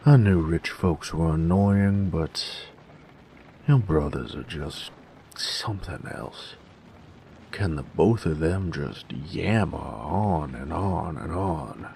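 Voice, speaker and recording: Man playing a very deep, gravelly drawling bandit. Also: lively intonation, takes it slowly, mellow, slight background noise, full character voice. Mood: exasperated. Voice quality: gravelly, very low voice. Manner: drawling, folksy, slangy, sardonic. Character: gruff, jaded male bandit who grew up drifting with a carnival. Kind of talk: scripted roleplay audio drama.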